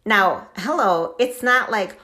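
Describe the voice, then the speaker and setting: A woman's voice: medium pitch, lively intonation, slow pace, bright, forceful tone. A woman giving a casual motivational talk, chatty and enthusiastic, full of "like" and "right?". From Wisconsin. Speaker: podcast host.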